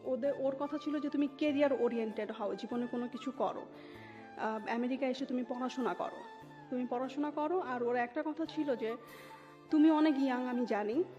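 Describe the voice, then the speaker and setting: Bangladeshi woman w a high voice telling her life story, drops in english words, clear music behind. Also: moderate pace. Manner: mixes English words and phrases into talk. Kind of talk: personal storytelling in an interview. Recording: muffled audio with music underneath.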